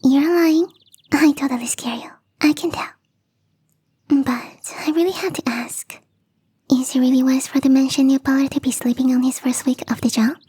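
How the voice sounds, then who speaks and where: A woman voicing a young catgirl gardener in an ASMR roleplay, teasing, coy and playfully smug, with a breathy, whispery voice. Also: sits high, steady tone, normal speed, mellow, no echo. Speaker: voice actress.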